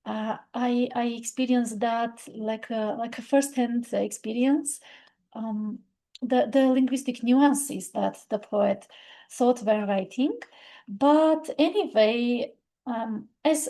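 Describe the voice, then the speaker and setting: A thoughtful woman whose first language is Armenian talks about poetry in English. Her voice sits a little high but sounds mellow and warm, and she moves slowly through her ideas with frequent ums, pauses and self-corrections. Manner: halting, many fillers and restarts; reflective, thoughtful. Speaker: literature video presenter. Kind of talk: unscripted literary talk.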